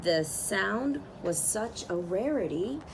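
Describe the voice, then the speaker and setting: A woman reading a picture book aloud as a storyteller, with expressive, drawn-out phrasing for young listeners. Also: medium pitch, vocal fry, slight background noise. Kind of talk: expressive children's read-aloud narration.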